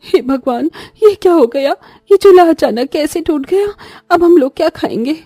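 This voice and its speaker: A woman's voice: high-pitched, animated, normal speed, mellow, no echo, studio quality, faint music behind. A woman playing a poor, self-sacrificing young mother in a cartoon story, earnest and plaintive. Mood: distressed. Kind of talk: Hindi cartoon story voice acting.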